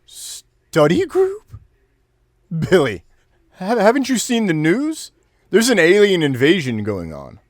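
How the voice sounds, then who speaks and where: A man's voice: high voice, wildly swooping pitch, slow, dry recording, clean studio sound, heavily acted. A man playing a mild, puzzled father of a teenager in an audio drama, hesitant and surprised.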